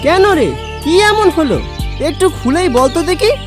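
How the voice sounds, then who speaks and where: Woman doing kind male vegetable seller, high voice, lively, consoling, animated storybook. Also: normal speed. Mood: concerned. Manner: chatty and consoling. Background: background music.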